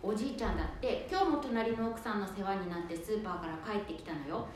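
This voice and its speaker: A woman's voice: medium pitch, quick pace, close to an everyday voice. Very echoey nagging wife, played by a woman. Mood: exasperated. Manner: complaining, scolding. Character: nagging, exasperated middle-aged wife of the eldest son. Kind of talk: amateur radio drama acting. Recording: very echoey room, faint noise.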